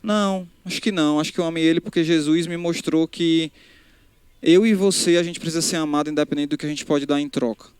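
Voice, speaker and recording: A man's voice: a little high, fairly even intonation, quick pace, a little mellow, clear, resonant tone, no echo. A young man preaching a casual live sermon, talking straight to the crowd and often restarting his sentences. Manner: conversational, addresses crowd directly, frequent restarts. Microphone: handheld microphone.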